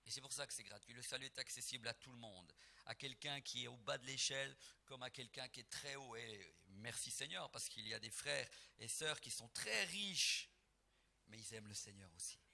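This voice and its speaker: Brief: middle-aged gospel preacher from Portugal, bright piercing tone, emphatic swells and soft reflective asides. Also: male voice, mid-range voice, animated, normal speed, creaky. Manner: emphatic, rising to near-singing on key phrases; soft and reflective when telling family memories. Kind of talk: preaching between songs at a concert.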